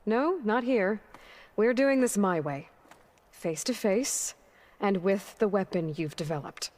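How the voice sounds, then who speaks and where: A woman's voice: medium pitch, takes it slowly, darkish tone, lightly acted. An actress playing a determined, commanding young warrior woman in a video game, with an American accent and blunt, assertive delivery. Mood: defiant, confident. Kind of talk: video game cutscene acting.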